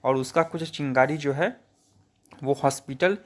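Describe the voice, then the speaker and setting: Man, Indian accent, slightly high voice, colloquial opinionated explainer to viewers. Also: measured pace. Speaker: commentator. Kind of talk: informal explanatory news commentary to viewers.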